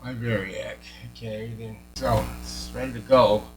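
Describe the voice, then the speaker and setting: A man's voice: on the low side, fairly even intonation, a little slow, a little mellow. A man giving a casual hands-on commentary as he repairs things, muttering and thinking aloud to himself. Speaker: radio repair hobbyist. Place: repair workshop. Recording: clear mains hum and noise.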